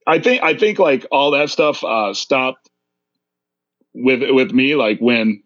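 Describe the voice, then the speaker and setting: A man in his mid thirties chatting casually on a podcast, rambling with lots of fillers and quick laughs. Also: mid-range voice, lively intonation, fairly bright tone, no room sound. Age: 30s. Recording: dry, dull lo-fi sound.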